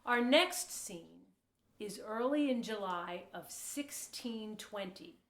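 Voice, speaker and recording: A woman's voice: mid-range voice, lively intonation, slow, fairly bright tone. A woman reading scripted historical narration for an audio play. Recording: echoey room.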